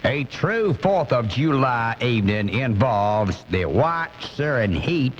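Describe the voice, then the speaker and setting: A man's voice: medium pitch, sing-song, slow pace, slight background noise. A man playing an angry, blustering redneck commentator in a comic radio monologue, ranting in folksy, ungrammatical indignation. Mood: indignant, ranting. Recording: muffled off-air cassette radio recording, music.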